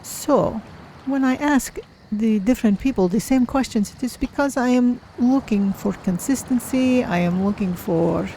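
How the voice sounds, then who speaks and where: A curious, cautious cat-woman adventurer from a fantasy game, voiced by a woman roleplaying. She speaks in a measured, formal manner with a soft, mellow tone and a creaky edge. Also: on the high side, slight background noise, lightly acted, rough recording. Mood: thoughtful. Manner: measured, formal, speaks of self in third person. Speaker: streamer roleplaying a character. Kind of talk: in-character game roleplay narration.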